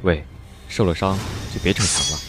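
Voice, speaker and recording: An actor playing a mysterious, calm young swordsman who talks in a husky, unhurried, teasing way. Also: deep voice, over music, natural voice. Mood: cool teasing. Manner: cool, teasing, unbothered. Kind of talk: Chinese audio drama acting.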